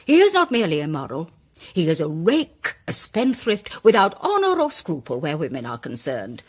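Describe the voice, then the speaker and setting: Theatrical, swooping, husky lady orator. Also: sits low, wildly swooping pitch, heavily acted. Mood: pointed, assured. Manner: declamatory, grandiloquent, deliberate emphasis. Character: theatrical, self-important woman playwright presenting her case. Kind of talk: dramatised audio mystery, formal speech-making.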